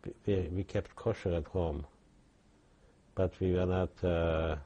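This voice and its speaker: An older man, giving halting oral history testimony with many restarts. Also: low-pitched, steady tone, warm tone, lo-fi sound. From Poland. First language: Yiddish. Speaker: interviewee.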